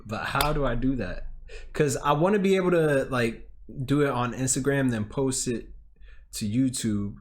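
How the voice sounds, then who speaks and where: A man hosting a casual reaction video, talking over a clip and chatting to himself in quick asides. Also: mid-range voice. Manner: excitable, talks over the clip, drops to muttering asides.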